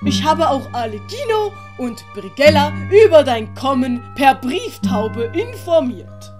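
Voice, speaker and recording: A woman voicing a fussy, kindly old male scholar in a comic audiobook, deliberate and hesitant. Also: high voice, very animated, a little mellow, faint music behind. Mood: reassuring. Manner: deliberate, hesitant, fussily solicitous. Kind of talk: German audiobook commedia dell'arte acting.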